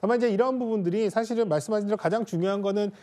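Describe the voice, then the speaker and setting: A male professor commenting on a news panel in a high-pitched voice. He talks quickly in long, careful, formal explanations. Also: warm tone.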